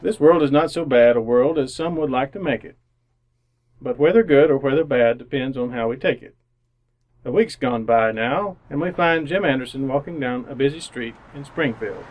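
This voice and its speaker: A man's voice: medium pitch, slight background noise, poor audio. A man announcing in old-time radio style, reading scripted sponsor spots, narration and credits. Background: music.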